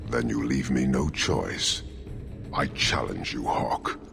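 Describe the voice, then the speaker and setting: Gravelly, very deep stern warrior commander. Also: male voice, lively intonation, slow pace, full character voice. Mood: grave challenge. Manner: solemn, formal, clipped declarations. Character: towering stern male horned warrior commander, rigid and unyielding. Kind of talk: video game cutscene acting. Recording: loud game music behind.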